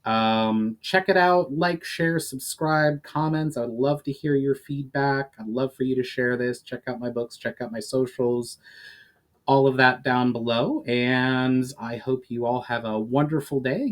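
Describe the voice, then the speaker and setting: Male author-narrator, dramatic storybook delivery, mid-pitched voice, small stumbles and retakes left in. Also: fairly even intonation, measured pace. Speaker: author reading own book, channel host. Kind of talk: dramatic audiobook-style narration.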